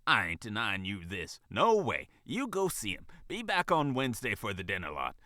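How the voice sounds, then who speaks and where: A man's voice: mid-range voice, big pitch swings, measured pace, sharp tone, no room sound, studio quality. A man voicing a bold, kindly, nosy female fox who manages a diner, in a folksy, casual storybook voice. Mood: firm, kindly. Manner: folksy, casual, sassy, says ain't and sugar.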